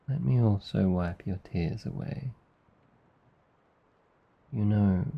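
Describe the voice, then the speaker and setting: A low, gravelly Australian male demon played by a man speaks unhurriedly in an intimate ASMR roleplay, swathed in long reverb. He is a menacing night creature with an awkwardly tender streak. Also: a little hiss or hum, full character voice. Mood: soothing, tender. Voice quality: deep, gravelly. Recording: slightly dull, long reverb.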